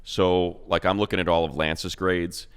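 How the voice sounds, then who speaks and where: Casting: deep, smooth male sports announcer, rambling and emphatic. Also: steady tone, normal speed. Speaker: radio play-by-play announcer and co-host. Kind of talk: animated football talk.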